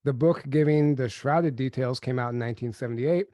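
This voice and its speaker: A man hosting a webinar in a casual, upbeat way, still a bit nasal from a cold. His voice sits at an ordinary pitch, and it is recorded close and dry, with no room sound. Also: brisk, mellow, no echo. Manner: upbeat, chatty, reads slides aloud. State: getting over a cold, a little nasal. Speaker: podcast co-host.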